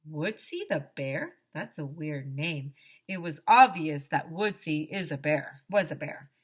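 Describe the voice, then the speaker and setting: A woman teacher reading a children's story aloud in an expressive, emphatic way. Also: low voice, a little slow, husky.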